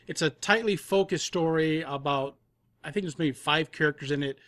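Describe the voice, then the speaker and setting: A man's voice: fairly high pitch, moderate pace, fairly bright tone, dry recording. A man explaining his work as a guest in a casual interview, repeating words for emphasis. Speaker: audio drama producer, podcast guest.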